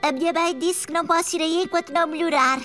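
Very high, bright little girl. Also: fairly even intonation, quick pace, faint music behind. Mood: glum. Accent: European Portuguese. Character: sweet young girl, the boy's playmate. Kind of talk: children's cartoon dub.

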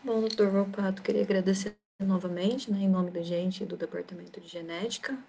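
A woman's voice: mid-range voice, steady tone, fairly quick, vocal fry, dark tone, slightly rough. A young woman moderating a seminar from an office, politely closing it on a video call. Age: young adult. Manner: polite, formal. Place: office room. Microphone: webcam on video call.

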